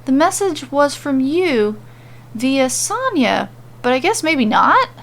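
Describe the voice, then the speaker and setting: A woman's voice: high voice, very animated, slow, a bit bright, pitched above the performer's own voice, heavily acted. A woman voicing a nervous, frustrated man, a bumbling kidnapper in an audio story, hesitant and slangy. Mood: explaining.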